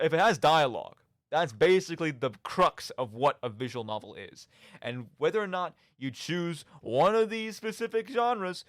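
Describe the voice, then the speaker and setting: Young male radio host, lively swooping delivery, dry close sound, casual and jokey rambling. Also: on the high side, no echo. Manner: rambling, jokey, self-deprecating. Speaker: radio show and podcast host. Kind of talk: casual solo commentary on video games.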